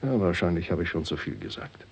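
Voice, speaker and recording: Husky, cynical doctor. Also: male voice, sits low, animated, fast, a little mellow, exaggerated voice. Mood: scornful. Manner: sardonic, sweeping between smooth and scornful. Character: cynical, suave doctor and father, coldly self-serving. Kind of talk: 1960s German radio play acting.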